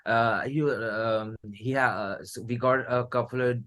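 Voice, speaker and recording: A man with an Indian accent talks in a loose, conversational way as an interview guest. His delivery rambles, doubling back on phrases and repeating himself as he explains. The pitch sits in an ordinary middle range for a man. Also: fairly even intonation, measured pace, fairly bright tone. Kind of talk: casual conversational anecdotes.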